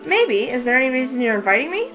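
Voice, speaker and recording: A woman's voice: high-pitched, sing-song, fast talker. A woman voicing an eager, curious young heroine in a game read-through, with faint music behind. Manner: eager, wide-eyed questions. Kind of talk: voice acting a visual novel.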